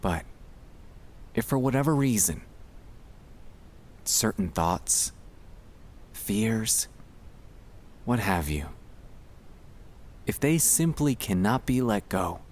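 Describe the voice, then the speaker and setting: Slow, gentle low-voiced man, soothing ASMR. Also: lively intonation. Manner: unhurried, with pauses between short phrases. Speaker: ASMR sleep-aid performer. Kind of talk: gentle ASMR guided relaxation.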